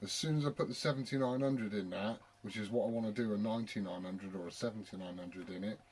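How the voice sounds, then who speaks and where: A man's voice: lowish voice, quick pace, a little mellow, a little hiss or hum. A British man chatting casually as a CB radio operator, blokey and sweary in his talk. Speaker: CB radio operator and video maker.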